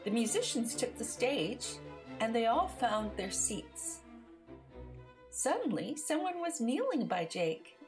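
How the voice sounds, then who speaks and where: A woman narrates a children's story in a fairly high, very expressive voice. She takes her time over each phrase, telling it deliberately as a read-aloud, with music clearly playing behind her. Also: poor audio.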